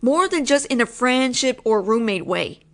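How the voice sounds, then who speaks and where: Brief: woman, slightly high voice, close mic, roleplay as a possessive roommate, insistent and teasing. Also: animated, normal speed, fairly bright tone. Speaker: voice actress playing a possessive roommate. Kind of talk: scripted one-sided audio roleplay.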